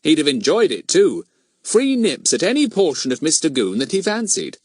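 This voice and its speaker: A man's voice: fairly high pitch, wildly swooping pitch, normal speed, a little mellow, dry recording, studio quality, voice pushed up. A man voicing a plucky, dignified schoolboy with an earnest, articulate manner, in a children's storybook. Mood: half vexed. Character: plucky, dignified, well-spoken schoolboy leader.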